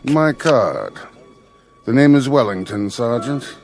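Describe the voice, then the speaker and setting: Formal, courteous gentleman. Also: mid-range voice, lively intonation, soft background music. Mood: suave, solicitous. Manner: formal, measured, eloquent. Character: courteous, refined gentleman veteran, smoothly solicitous. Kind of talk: radio drama acting.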